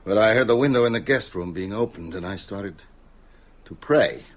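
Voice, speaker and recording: An actor playing a frightened, haunted man in an audio drama, insistent and urgent, with halting delivery. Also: lowish voice, lively intonation. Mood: haunted, hushed. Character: frightened older male teacher, insistent, haunted, wryly self-mocking.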